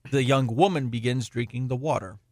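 A man's voice: medium pitch, a little fast, a little mellow, no room sound, clean studio sound, lightly acted. A man as narrator, reading scripted stage directions for a radio play. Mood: matter-of-fact.

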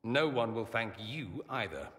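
A flamboyant young mage nobleman in a video game, full of arch, ironic wit and a bright, animated delivery that swoops up and down. He teases and performs every line, with a long reverb trailing behind his voice. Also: medium pitch, a little slow. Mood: dry. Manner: lively, teasing, theatrical. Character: witty, vain, charming male mage nobleman, young adult. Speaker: mage companion.

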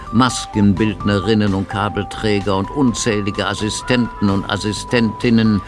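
The storyteller of a youth mystery radio play. His very deep voice reads the script at a slow, measured pace over clear background music. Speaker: narrator. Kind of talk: scripted audio drama narration.

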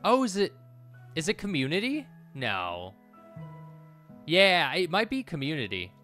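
A man's voice: fairly high pitch, wildly swooping pitch, measured pace, sharp tone. A man in his thirties giving casual gameplay commentary, rambling and thinking aloud as he plays. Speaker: let's play host. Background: game music.

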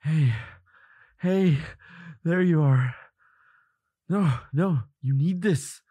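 A man playing a devoted, protective boyfriend in a dramatic audio roleplay, with an emotive, halting delivery. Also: a little high, mellow, slightly rough, no room sound, heavily acted. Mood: frantic, pleading. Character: devoted boyfriend of a vampire, protective, frantic, defiant.

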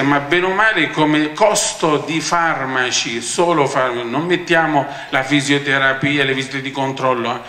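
Bright-voiced doctor speaking in an echoey hall. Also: male voice, mid-range voice, a little hiss or hum. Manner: emphatic, gesturing while speaking. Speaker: doctor giving a talk. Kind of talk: conference talk. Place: large conference hall, standing by a screen. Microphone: handheld mic. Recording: echoey hall with faint ambience.

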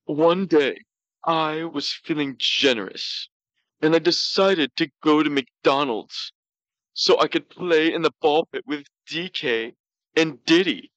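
A man voicing a pouty, self-justifying glutton who tells comic stories in a halting way. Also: fairly high pitch, fairly bright tone, no room sound, dull audio. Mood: self-satisfied. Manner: pouty, slow, halting delivery. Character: pouty, self-justifying, gluttonous male talk show storyteller. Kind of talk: comedic in-character storytelling.

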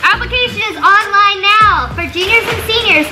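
A young woman with a squeaky helium voice making casual youth group announcements on camera in an indoor room. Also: moderate pace, loud music behind the voice. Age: young adult. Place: small indoor office, seated at a table.

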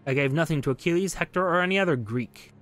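A man's voice: a little high, fast, fairly bright tone, natural voice. A proud old locksmith, acted out by a man reading a game aloud, stands accused and answers back. He is indignant and boastful, with light music behind him. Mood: defiant. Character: proud old master locksmith on trial, defiant and grumbling.

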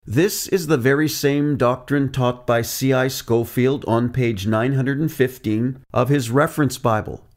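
A man's voice: medium pitch, a little mellow. Man narrating a scripted sermon. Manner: measured, reads quotes from sources aloud. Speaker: preacher.

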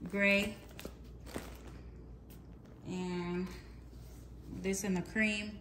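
A woman's voice: medium pitch, flat delivery, extremely slow, fairly bright tone, a little hiss or hum. Chatty woman filming her home, echoey. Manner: chatty, rambling, self-correcting. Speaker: home vlogger. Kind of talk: casual clean-with-me narration. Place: large bright home, kitchen and playroom. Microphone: handheld phone camera.

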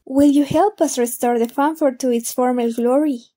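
A woman acting as an earnest, gentle funfair worker in an audio drama, telling things carefully. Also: high-pitched, fairly even intonation, normal speed. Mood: hopeful.

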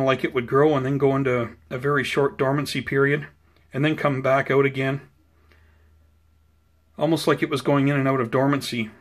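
A man giving a casual, unscripted plant update in an unhurried, explanatory, conversational way, recorded indoors on a handheld camera. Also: mid-range voice. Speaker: host of a plant-growing video channel. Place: indoors at home beside potted seedlings.

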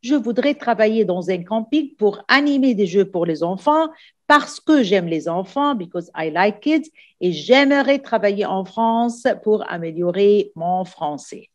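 A woman's voice: mid-range voice, animated, a little slow, dull audio. A woman teaching French for an exam, explaining in English, repeating phrases and often asking "d'accord?". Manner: repetitive, checks in with "d'accord?" often. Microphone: voice-over on screen recording.

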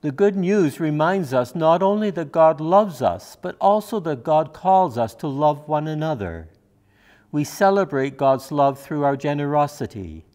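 A man in his seventies reading liturgy and prayers as a minister in a measured, formal, reverent manner. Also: on the high side, expressive, a little mellow. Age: 70s. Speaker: minister leading worship. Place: church sanctuary, indoors.

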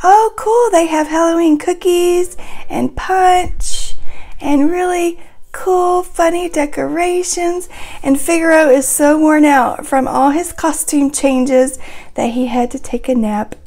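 A woman reading a children's story aloud in an animated, sing-song way, adding little asides as she goes. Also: extremely high pitch, slow.

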